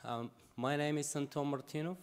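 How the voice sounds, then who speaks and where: A man in his forties with a Bulgarian accent, asking a halting, unscripted question at a conference lectern microphone. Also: on the high side, steady tone, soft background music. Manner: halting, non-native phrasing. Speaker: audience questioner.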